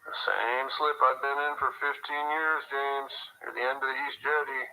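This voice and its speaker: An actor playing a grumpy veteran boat owner in a radio drama, gruff, blunt and complaining over a telephone line. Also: male voice, mid-range voice, flat delivery, moderate pace, bright, forceful tone. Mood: irritated. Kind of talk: radio drama phone call.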